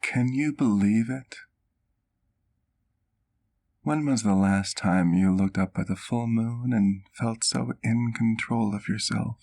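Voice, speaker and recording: A man playing a doting, courteous vampire doctor in an intimate ASMR roleplay, with formal, affectionate diction and gentle teasing. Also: lowish voice, takes it slowly, creaky. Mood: proud, tender.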